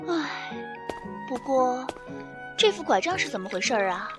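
A shy high-voiced young girl. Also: big pitch swings, a little slow, music in the background. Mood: curious. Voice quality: high, bright girlish voice.

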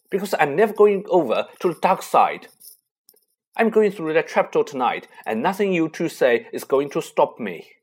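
A reader voicing a desperate, determined schoolboy wizard who shouts in defiance. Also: medium pitch, slightly rough, voice pushed up.

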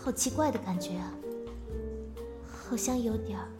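A woman acting a polite, wistful young office worker in an audio drama, soft-spoken and hesitant. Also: a little high, moderate pace, music in the background, natural voice. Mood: puzzled.

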